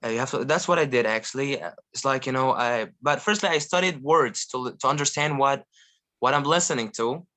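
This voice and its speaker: A man's voice: mid-range voice, fairly quick. Young Egyptian man with American-style English. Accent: American-style English learned by a non-native. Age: 20s. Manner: animated, laughing often, frequent restarts. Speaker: guest polyglot. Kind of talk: casual conversational interview.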